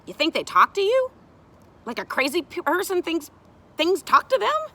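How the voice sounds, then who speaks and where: A woman voicing a brash, defensive young girl in a storybook, blurting bluntly and excitably. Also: extremely high pitch, moderate pace, voice pushed up, heavily acted. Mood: scornful.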